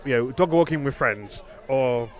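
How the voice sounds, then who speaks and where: A man's voice: mid-range voice, moderate pace. A man hosting a podcast in casual, reminiscing chat, rambling and often correcting himself as he goes. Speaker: podcast host.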